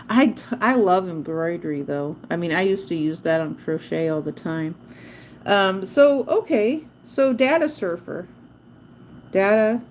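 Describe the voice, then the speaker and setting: A woman's voice: medium pitch, a little mellow, slight background noise. A woman hosting a livestream, chatting casually and rambling off topic, often spelling words out letter by letter. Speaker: livestream host teaching drawing.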